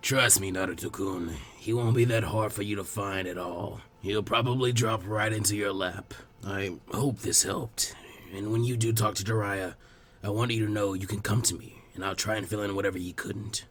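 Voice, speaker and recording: A man voicing a calm, kindly old village leader who speaks gravely in a slow, measured way. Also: lowish voice, voice pushed down, close to an everyday voice. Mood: fond, reassuring.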